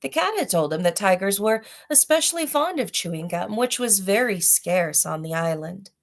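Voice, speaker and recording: A woman reading a children's storybook aloud with deliberate, dramatic phrasing and casual asides. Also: mid-range voice, lively intonation. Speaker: storybook reader, host of a read-aloud library.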